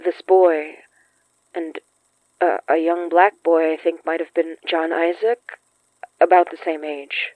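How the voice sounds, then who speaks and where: A young summoner of ghosts in a horror audio drama, heard through a narrow, phone-like radio filter. The delivery is slow, halting and earnest, with a gentle, reassuring manner and a dry, close sound. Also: female voice, sits low, flat delivery, dry recording. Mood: awed, earnest. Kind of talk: intimate audio drama acting. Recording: thin, phone-like band-limited sound.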